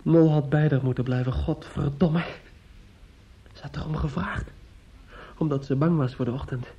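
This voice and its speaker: A man acting a bitter, menacing nephew in a radio play, halting and repetitive, sneering and insistent. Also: on the high side, steady tone, mellow, breathy edge. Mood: bitter mockery. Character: bitter, menacing, grief-stricken nephew taunting his aunt. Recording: muffled, dull old radio recording.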